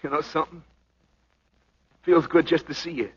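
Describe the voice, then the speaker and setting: A lovesick young boxer. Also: male voice, on the high side, fairly even intonation, normal speed, slightly rough. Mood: tender. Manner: plain street slang, hushed and sincere. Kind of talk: 1940s film acting. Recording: dull old film soundtrack with music.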